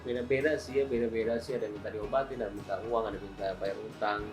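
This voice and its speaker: A man chatting casually and teasing his guests, trailing off softly at the ends. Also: on the low side, fairly even intonation, rapid-fire. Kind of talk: casual chat. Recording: distant roomy voice with music under it.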